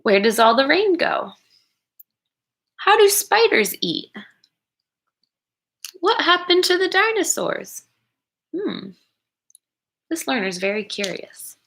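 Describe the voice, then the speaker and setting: Slow, bright-voiced woman reading aloud to children. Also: high voice. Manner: expressive, encouraging, pausing to wonder aloud. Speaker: educator reading a picture book aloud to children. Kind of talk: children's read-aloud with asides to listeners.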